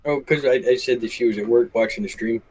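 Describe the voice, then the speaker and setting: A man's voice: medium pitch, quick pace, a little mellow, low-quality recording. A man chatting casually as a livestream guest, telling anecdotes and quoting what people said. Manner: anecdotal, acts out quoted remarks.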